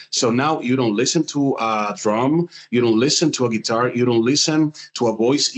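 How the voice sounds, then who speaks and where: A man in his forties from the Dominican Republic with a Dominican Spanish accent, a guest in an interview who explains things with many pauses and restarts. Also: medium pitch. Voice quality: hesitant, many fillers and restarts. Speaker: guest, voiceover artist and music producer. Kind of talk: casual interview talk.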